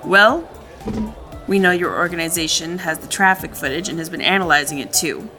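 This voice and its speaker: A procedural, tactful female detective in an audio drama. Her voice sits on the low side with a bright, forward edge, over faint music. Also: normal speed. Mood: businesslike, probing. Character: businesslike female police detective, tactfully probing. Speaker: police detective. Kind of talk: naturalistic audio drama dialogue.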